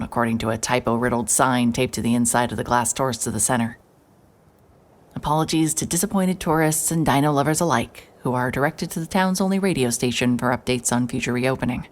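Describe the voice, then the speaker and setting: A woman playing a hard-hearted, sleep-deprived private investigator, wry and terse, narrating a noir audio drama in the first person. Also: very deep voice. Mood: weary, brooding. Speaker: narrator and lead character.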